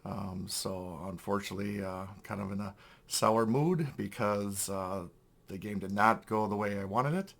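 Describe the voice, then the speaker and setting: A young man, hoarse from losing his voice, hosting a casual unboxing review with many fillers and throat clearing. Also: fairly low pitch, moderate pace, a bit bright. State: hoarse, lost voice. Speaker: toy collector channel host.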